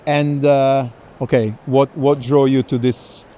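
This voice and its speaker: A man's voice: medium pitch, little pitch movement, takes it slowly, dark tone. A man hosting a conversational tour of an art fair, asking questions and echoing his guests appreciatively. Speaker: interviewer and curator. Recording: faint urban ambient noise.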